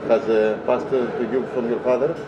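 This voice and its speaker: A man's voice: medium pitch, flat delivery, roomy sound. Hesitant male interviewer, dull noisy sound. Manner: halting, hesitant with many uhs. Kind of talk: interview questions. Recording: dull lo-fi sound with clear noise.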